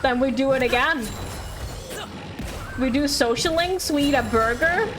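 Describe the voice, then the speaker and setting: Woman, game livestream, higher-pitched, casual, playful, rambling chat, faint background music. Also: measured pace, rough recording. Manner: playful, rambling, talks to viewers and herself. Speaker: game streamer.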